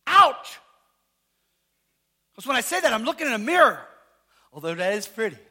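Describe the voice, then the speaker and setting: Pastor, male, unusually high and bright voice, casual and joking, quizzes the congregation, bursts into shouts. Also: normal speed. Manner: animated, rising to shouts, asks the congregation questions. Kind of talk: sermon.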